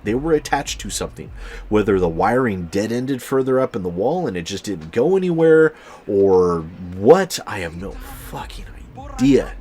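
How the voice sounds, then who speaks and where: Man, deep voice, casual storyteller, rambling delivery, frequent swearing, exaggerated emphasis. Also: sing-song, moderate pace, a little mellow, breathy edge. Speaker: YouTube storyteller host.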